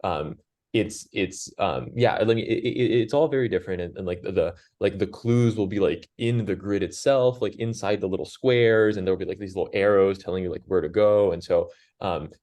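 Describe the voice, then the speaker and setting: A man's voice: low-pitched, moderate pace, a little mellow. A man who grew up in Brazil with Portuguese as his first language, a crossword constructor answering interview questions in a rambling way, full of "like" and restarts. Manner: rambling, heavy use of "like", frequent restarts.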